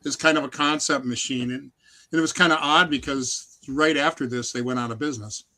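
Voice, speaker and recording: A man's voice: medium pitch, fairly even intonation, fairly quick, pushed and bright. Midwestern American man reminiscing casually. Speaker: podcast guest, vintage snowmobile collector.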